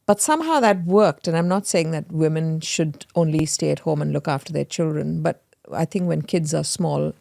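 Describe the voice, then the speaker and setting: A woman's voice: sits low, moderate pace, gravelly, hardly any pauses, dark tone, no room sound, studio quality. A woman hosting an interview, chatty and affirming, asking questions in a casual tone. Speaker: podcast host.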